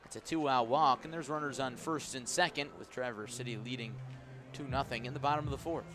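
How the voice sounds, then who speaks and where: A man doing live baseball play-by-play on the radio, mixing clipped pitch calls with conversational asides. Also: a little fast. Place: outdoor baseball stadium. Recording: clear outdoor stadium ambient noise. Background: outdoor ballpark ambience.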